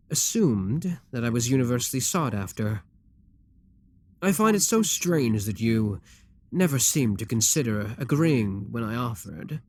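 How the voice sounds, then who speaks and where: A man voicing a possessive, scheming demon king who talks to the listener in a calm, coaxing, self-assured way. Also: medium pitch. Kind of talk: audio roleplay addressed to the listener.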